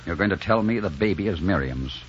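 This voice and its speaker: An actor playing a rugged, defiant romantic hero and narrator in a 1940s radio drama, intense and dramatic. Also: male voice, low voice, animated, brisk, a little hiss or hum. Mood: resolute. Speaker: leading man and narrator. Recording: dull 1940s radio recording, music behind.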